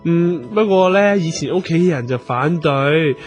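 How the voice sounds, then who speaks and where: Excitable, naive besotted gentleman. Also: on the high side, a little slow. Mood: earnest, rambling. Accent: Hong Kong Cantonese. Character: excitable boyish gentleman besotted with a bossy magic girl. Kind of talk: radio comedy drama acting. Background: music.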